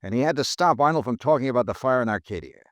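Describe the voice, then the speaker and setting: An actor playing a sharp male insurance investigator in a 1950s radio drama, narrating in a wry, level manner. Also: mid-range voice, animated, very fast, no echo, clean studio sound, close to an everyday voice. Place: studio.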